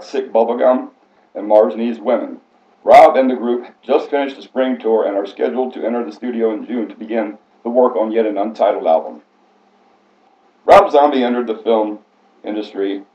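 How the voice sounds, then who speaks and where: A man reading a prepared biographical speech aloud in a formal, scripted way, stumbling now and then. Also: mid-range voice. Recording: echoey room, slightly muffled sound.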